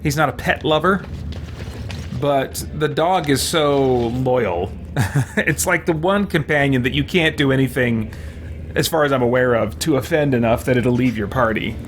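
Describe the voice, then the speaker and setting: A man's voice: mid-range voice, a little slow, slight background noise. A man giving casual let's-play commentary as a game streamer, thinking aloud as he goes. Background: game music.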